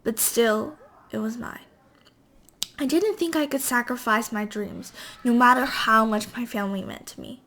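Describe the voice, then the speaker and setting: A woman reading a novel aloud as an amateur, halting at times as she stumbles over words and corrects herself. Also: a little high, fairly bright tone. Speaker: amateur reader.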